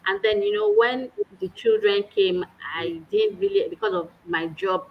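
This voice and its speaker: A woman's voice: medium pitch, huge pitch swings, moderate pace, lo-fi sound, slight background noise. A woman, a guest earnestly telling her personal story in a casual chat. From Nigeria. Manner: earnest, warm storytelling with small laughs.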